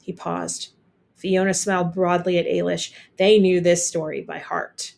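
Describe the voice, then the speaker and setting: A woman's voice: on the low side, little pitch movement, a little slow, creaky, a little mellow. Woman reading a picture book aloud. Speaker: read-aloud storyteller. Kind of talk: expressive children's picture book narration.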